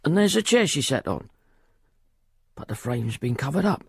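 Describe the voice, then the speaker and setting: Worried grieving father, radio drama, gravelly creaky voice, dry close sound, repeats himself haltingly. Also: a little high, sing-song, no echo, full character voice. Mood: sorrowful. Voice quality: husky, gravelly. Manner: halting, repetitive, hushed storytelling. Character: anxious, grieving father watching helplessly.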